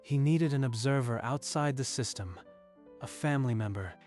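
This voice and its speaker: A man reading scripted story narration in a measured, literary storytelling manner. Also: mid-range voice, darkish tone, soft background music.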